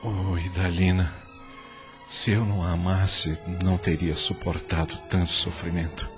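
Deep-voiced, devoted radio-drama husband. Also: male voice, little pitch movement, unhurried, soft background music. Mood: emotional, loving. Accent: Brazilian. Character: repentant, devoted husband, grateful and emotional. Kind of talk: Brazilian radio soap acting.

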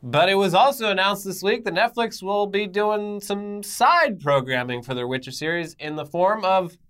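A man's voice: high voice, normal speed, a bit bright, clean tone. A man co-hosting an entertainment news show with conversational commentary and wry, sardonic asides.

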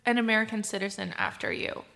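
A woman's voice: mid-range voice, fairly even intonation, fast, creaky, bright, forceful tone. A woman in her twenties, born abroad but sounding fully American, hosting a podcast with teasing, upbeat questions. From Ukraine. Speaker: podcast host. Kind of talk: casual chat.

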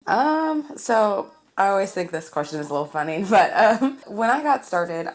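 A woman in her twenties from Indiana, a TV news anchor, telling her story as a guest with a slightly raspy voice and frequent laughs. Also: medium pitch, very animated, normal speed. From Indiana, USA. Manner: warm storytelling, laughs often. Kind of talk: interview answers.

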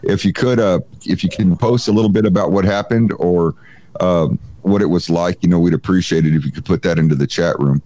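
Man, live-stream host, chatty, lots of uhs, low voice, dry sound. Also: fairly even intonation, a little fast, warm tone, no echo. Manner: jokey, chatty, filler-heavy. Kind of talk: casual live-stream chat, reading viewer comments.

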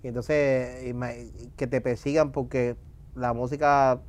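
A man's voice: medium pitch, level tone, measured pace, darkish tone. A man with a Puerto Rican accent, hosting an interview in casual, slangy conversation. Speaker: interview host.

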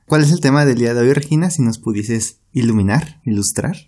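A man's voice: mid-range voice, animated, fairly quick, darkish tone. A Mexican man who teaches Spanish, co-hosting a casual podcast in a chatty way and repeating words for emphasis.

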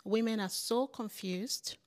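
A woman's voice: on the high side, takes it slowly, a little mellow. A woman in her thirties, a relationship coach, talking in a podcast conversation, emphatic and repeating key phrases.

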